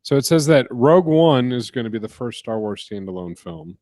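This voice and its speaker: A man's voice: mid-range voice, lively intonation, darkish tone, no echo. A man co-hosting a casual podcast, reading out the news in a chatty, meandering way with lots of ums, close on the mic. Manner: chatty, drawn-out with ums and uhs. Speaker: podcast co-host. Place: couch in a home studio. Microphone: close studio microphone.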